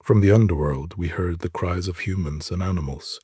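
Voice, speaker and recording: Male narrator, low and very husky, solemn and deliberate literary storytelling, dry close sound. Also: warm tone, dry recording. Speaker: narrator reading short stories. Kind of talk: dramatic literary reading.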